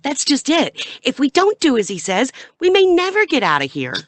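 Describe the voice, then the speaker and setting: A woman's voice: high voice, huge pitch swings, normal speed, no room sound. An actress playing a guileless, cautious young female companion in an audio drama. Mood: wary.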